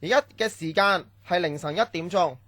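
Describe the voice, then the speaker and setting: A man's voice: high-pitched, fairly quick, pushed and bright, no echo, studio quality. A man acting a strict, domineering training-camp instructor in a Cantonese radio drama, barking orders and scolding. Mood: commanding.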